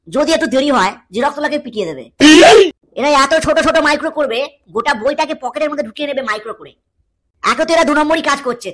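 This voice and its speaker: A woman's voice: sits high, fairly bright tone. A woman voicing a cheeky, dramatic cartoon schoolboy, giving a theatrical comic lecture.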